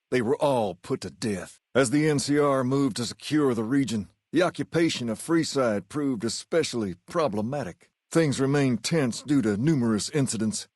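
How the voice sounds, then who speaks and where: Game epilogue narrator, played by a man: solemn male storyteller, measured scripted delivery, medium pitch, dry booth sound. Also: no room sound, close to an everyday voice. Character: male storyteller of a post-apocalyptic game's epilogues.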